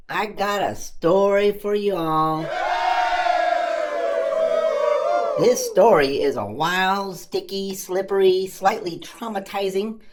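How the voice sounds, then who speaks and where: A woman voicing a cheeky, self-amused puppet comedian who tells stand-up stories with dramatic pauses and exaggerated emphasis. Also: medium pitch, very noisy, natural voice. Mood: playful, wry. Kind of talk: family-friendly stand-up comedy storytelling.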